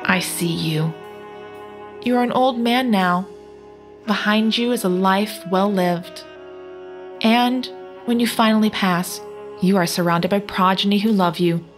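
A woman's voice: mid-range voice, slow, long pauses, close to an everyday voice. A woman playing a cold, accusing apparition of a dead soldier in an audio drama, speaking with relentless deliberation. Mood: cold, haunting. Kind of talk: scripted audio drama acting. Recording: music under the voice.

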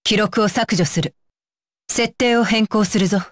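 A woman's voice: mid-range voice, a little slow, dry recording, studio quality, lightly acted. A woman voicing a stern, dutiful young female ninja bodyguard for an anime game, with clipped, commanding and blunt phrasing. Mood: calm, instructive. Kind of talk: Japanese anime game voice lines.

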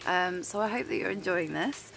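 A woman's voice: fairly low pitch, moderate pace, creaky, a bit bright, a little hiss or hum, low-quality recording. A woman in her thirties chatting casually and enthusiastically to camera as she hosts an outdoor vlog in the woods. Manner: enthusiastic, giggly. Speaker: vlog host, forager. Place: outdoors in a woodland.

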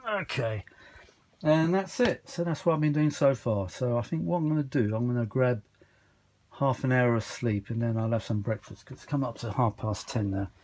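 A tired man with a husky voice, chatting casually and a little exasperatedly in a rambling hobby repair vlog, recorded indoors on a handheld camera. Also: mid-range voice, normal speed, darkish tone, a little hiss or hum. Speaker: vintage audio hobbyist vlogger. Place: indoors, small home workshop. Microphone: handheld phone or camera behind the lens.

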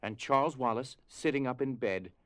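A man narrating a scripted drama as storyteller, with grave, deliberate phrasing. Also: mid-range voice, fairly bright tone, no room sound.

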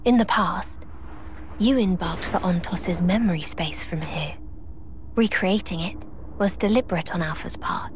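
A woman playing a composed, enigmatic guide in a video game, speaking in a measured, formal way. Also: on the low side, a little hiss or hum, natural voice. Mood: explanatory. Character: enigmatic, composed female guide of ancient knowledge.

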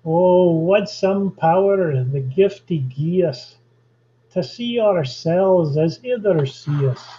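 A man putting on a Scottish accent to recite a stanza of old Scots verse slowly and carefully. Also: sits high, lively intonation, dark tone, a little hiss or hum. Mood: solemn. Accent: put-on Scottish. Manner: slow, measured recitation. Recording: dull lo-fi video call audio.

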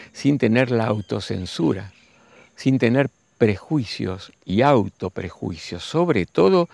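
Gravelly man in his sixties. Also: mid-range voice, darkish tone, dry recording. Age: 60s. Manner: slow, musing, addresses listeners directly. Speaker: spiritual teacher. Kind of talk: reflective spiritual monologue.

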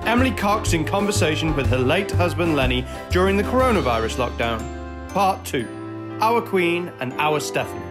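A man's voice: fairly high pitch, very animated, normal speed. A man reading scripted titles and credits as the announcer of an audio drama. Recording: music under the announcement. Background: music.

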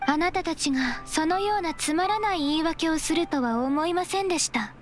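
A woman's voice: very high voice, background noise. A woman playing a polite but stern young secretary in an anime-style game, speaking formally and firmly. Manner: formal, measured, firm. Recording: faint game music behind the voice.

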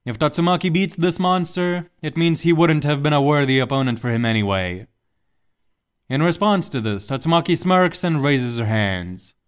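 A man's voice: fairly high pitch, expressive, moderate pace, smooth. A man reading scripted, dramatic story narration as the narrator.

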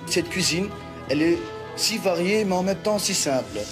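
A man with a slightly high voice talks in a relaxed, conversational way, with a few hesitations. Clear background music plays under his voice, as in a documentary reportage. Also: moderate pace. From Belgium. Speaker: interviewee. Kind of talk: documentary interview.

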